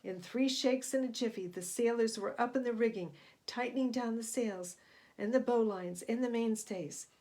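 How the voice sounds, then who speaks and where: Female storybook reader, slightly high voice, expressive narration for young listeners. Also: normal speed. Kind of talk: expressive read-aloud narration for young children.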